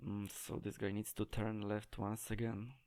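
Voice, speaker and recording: Low, flat-voiced man explaining haltingly. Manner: hesitant, halting, searching for words. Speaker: game tutorial presenter. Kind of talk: unscripted gameplay tutorial commentary.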